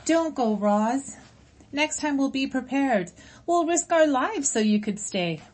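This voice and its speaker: A woman's voice: high voice, expressive, slow, pitched above the performer's own voice. A woman voicing a crowd of wild island animals, pleading urgently in a storybook reading.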